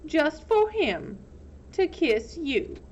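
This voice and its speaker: Woman playing a slow-drawling, leering small-town sheriff. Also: sits high, big pitch swings, a little mellow, highs cut off, slight background noise, pitched above the performer's own voice, heavily acted. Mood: taunting.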